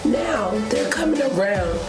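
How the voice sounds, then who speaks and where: A woman co-hosting a vlog, speaking candidly and conversationally with earnest, deliberate emphasis. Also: high-pitched, sing-song. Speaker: vlog co-host. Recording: roomy echo, music underneath.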